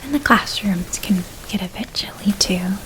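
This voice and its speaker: A woman playing a gentle, nurturing witch in a whispered ASMR roleplay, speaking in a soft, breathy, doting manner and lingering over details. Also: mid-range voice, normal speed, lightly acted, rough recording. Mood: gentle, caring. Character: gentle, nurturing witch helping a young student pack.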